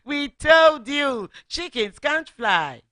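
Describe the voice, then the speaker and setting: A radio storyteller voicing a group of teasing chickens with a mocking, higher-pitched voice. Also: very animated, slow, bright, forceful tone, no echo, studio quality, exaggerated voice. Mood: gloating.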